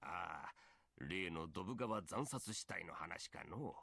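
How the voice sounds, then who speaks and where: Gravelly, grumpy old anime man. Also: deep voice, lively intonation, normal speed, pushed and bright, no echo, exaggerated voice. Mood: grumpy, scolding. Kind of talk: Japanese anime game acting.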